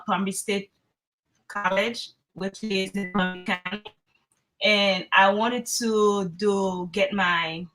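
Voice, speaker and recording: Bright-voiced young Haitian-born woman. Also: medium pitch, poor audio. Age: 20s. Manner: earnest, encouraging, candid. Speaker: online student guest. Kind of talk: interview answers.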